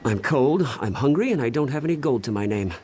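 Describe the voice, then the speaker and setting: An actor playing a destitute, plaintive beggar in a video game. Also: male voice, mid-range voice, lively intonation, fairly quick, mellow, a little hiss or hum, close to an everyday voice.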